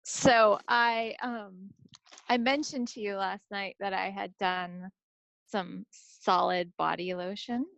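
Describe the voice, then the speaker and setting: Creaky-voiced woman chatting casually. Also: mid-range voice, steady tone, slow, a bit bright, dry recording, dull audio. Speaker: podcast co-host. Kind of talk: casual chat about crafts and home life.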